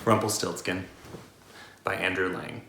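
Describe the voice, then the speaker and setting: A man's voice: low-pitched, fairly even intonation, a little slow, creaky, pushed and bright, echoey room, slight background noise. A man reading fairy tales aloud in a playful storytelling manner. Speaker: storybook reader.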